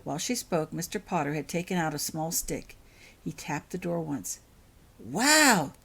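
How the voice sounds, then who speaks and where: A woman narrating fiction as an author reading aloud, in an expressive storybook style. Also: low-pitched, moderate pace, breathy edge.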